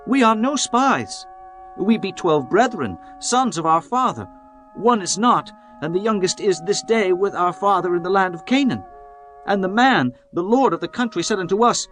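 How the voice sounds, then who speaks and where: A man's voice: sits high, a bit bright. A man voicing an anxious, earnest brother recounting events to his father. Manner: earnest, worried. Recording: music bed behind voice.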